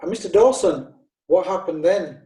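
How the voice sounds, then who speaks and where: An actor playing a brisk prosecutor who questions witnesses formally. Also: male voice, sits high, warm tone, natural voice. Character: businesslike male prosecutor. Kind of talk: amateur radio drama. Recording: echoey room, distant from the mic.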